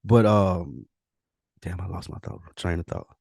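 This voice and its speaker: A man with a low voice hosts a casual podcast conversation. He talks bluntly in profane slang and likes to put questions to the other person. The sound is dry, with no room to it. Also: unhurried, vocal fry, darkish tone, breathy edge, no echo. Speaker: podcast host.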